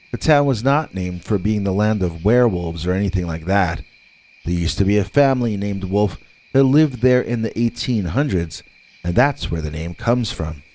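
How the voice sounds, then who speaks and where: A man reading scripted scary stories as a narrator, with dramatic, deliberate delivery and drawn-out words. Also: lowish voice, a little mellow.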